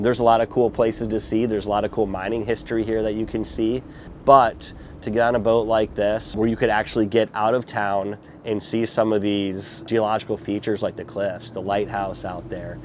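A man in his forties from Lower Michigan, talking in a relaxed, plain way while guiding a tour on a small boat outdoors. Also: noisy background. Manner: relaxed, plain-spoken, with fillers. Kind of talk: casual interview, guiding a tour. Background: music.

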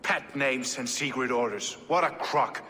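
An actor playing a hard, cynical medieval warrior in a game cutscene, with a blunt, wry and sceptical way of talking. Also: male voice, mid-range voice, a little slow, sharp tone. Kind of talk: dramatic game cutscene acting. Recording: reverberant hall, faint ambient noise.

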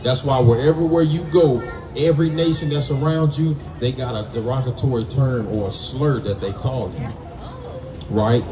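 A man's voice: mid-range voice, moderate pace, a crowd in the background. American man preaching, echoey and far off. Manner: emphatic, colloquial, punctuates with "right?" and "man". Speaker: street preacher teaching from scripture. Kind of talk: call-and-response Bible teaching. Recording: distant roomy mic amid people's noise.

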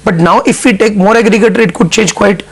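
Middle-aged executive, husky and gravelly, measured interview answers. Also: male voice, sits high. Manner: measured, explanatory, businesslike. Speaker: CFO guest. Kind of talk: business news interview.

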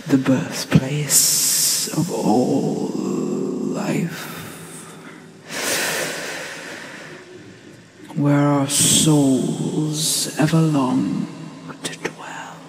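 A gentle ancient dragon acted out by a low-voiced performer teaches in a hushed, solemn ASMR roleplay. The speech is extremely slow and deliberate, breathy with a gravelly creak, over faint hiss and ambience. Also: level tone. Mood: dreamy, solemn. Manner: hushed, deliberate, long pauses.

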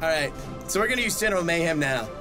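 Animated high-pitched young man gaming. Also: racing. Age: young adult. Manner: animated, whooping and hollering. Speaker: voice actor and let's-play host. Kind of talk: excited gaming commentary. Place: small room indoors. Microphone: headset on, desk microphone up close. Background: loud game music.

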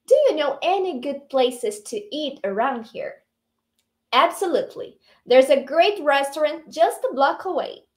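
A young Brazilian woman teaching English online from a small room, lively and encouraging, reading the English lines out slowly. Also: high voice. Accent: Brazilian Portuguese. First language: Portuguese.

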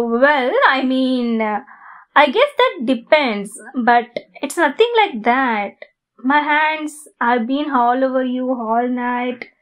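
Woman acting a high-voiced, flustered demon girlfriend. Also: heavily acted, low-quality recording. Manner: halting, flustered, intimate delivery. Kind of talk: ASMR roleplay.

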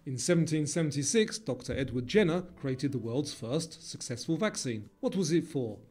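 A man reading scripted quiz narration in a measured way, as the narrator posing questions. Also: fairly high pitch, animated, darkish tone, soft background music.

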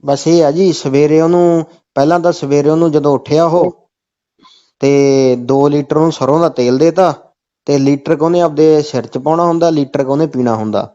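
A Punjabi-accented man with a fairly high voice is making a comic phone call. He is insistent and keeps returning to stock phrases. The recording is dull and lo-fi. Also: moderate pace, dark tone.

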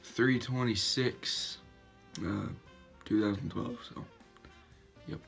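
A man's voice: on the low side, steady tone, slow pace, vocal fry. A teenage boy vlogging casually from his bedroom, self-mocking and chatty as he laughs at himself. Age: teens. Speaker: YouTuber. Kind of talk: casual reaction vlog. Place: bedroom, indoors. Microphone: built-in webcam mic at arm's length. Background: faint background music.

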